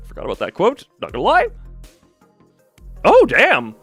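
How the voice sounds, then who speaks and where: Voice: mid-range voice, huge pitch swings, measured pace, fairly bright tone, breathy edge, faint music behind. A game stream host chatting casually and rambling while reacting to chat. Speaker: livestream host.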